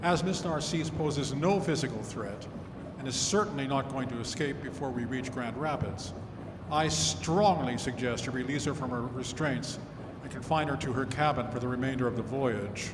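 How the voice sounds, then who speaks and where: An actor playing an earnest, courteous reverend turned investigator in an old-time radio drama, speaking formally and in measured phrases. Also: male voice, mid-range voice, close to an everyday voice. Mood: firm, formal. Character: earnest, courteous clergyman investigator, firm but proper. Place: live stage staged as a radio studio. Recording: echoey hall with clear background noise.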